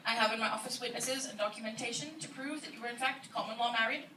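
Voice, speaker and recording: A woman's voice: on the high side, fast, close to an everyday voice. A woman acting a fierce young woman posing as a pompous lawyer, formal and emphatic, in a comic reading. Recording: roomy, distant old iPod recording, faint noise.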